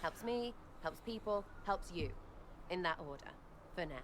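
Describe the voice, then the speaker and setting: An actress voicing an irreverent young archer in a video game, blunt, skeptical and slangy, with a British accent. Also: female voice, fairly low pitch, expressive, moderate pace, roomy sound, background noise, close to an everyday voice. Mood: defiant, uneasy. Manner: cheeky, slangy, choppy phrasing. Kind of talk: video game character dialogue.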